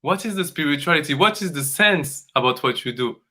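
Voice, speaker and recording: A man coaching in an earnest, emphatic way, often repeating himself in halting English. His voice sits a bit high for a man and has a bright, forceful edge. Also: expressive. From Congo. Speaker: dance coach. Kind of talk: conversational coaching talk.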